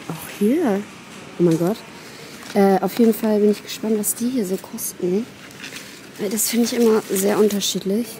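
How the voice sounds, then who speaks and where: A young woman vlogging casually and excitedly while browsing plants in a large garden center, sometimes dropping to a whisper. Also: medium pitch, noisy background. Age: young adult. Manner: excitable, chatty, often whispering asides. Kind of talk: casual shop-with-me vlog. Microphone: handheld phone camera.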